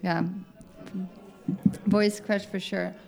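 Woman guest, vocal fry, chatty and giggly, light music bed. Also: mid-range voice, flat delivery, drawn-out words, a little mellow. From United States (Southern Oregon). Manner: chatty, laughs often, rambling asides. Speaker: podcast guest. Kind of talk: casual chat.

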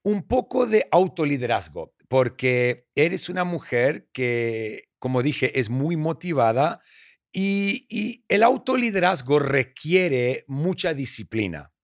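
A man's voice: on the high side, big pitch swings, takes it slowly, vocal fry, no echo, studio quality. A man from Argentina with an Argentine Spanish accent, hosting a faith-based podcast interview in an encouraging, effusive way. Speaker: podcast host.

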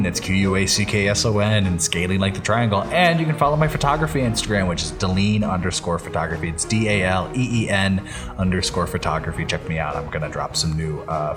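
Low-voiced man chatting casually as co-host. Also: a little fast, over music. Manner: informal, conversational. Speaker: podcast co-host. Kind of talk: casual chat.

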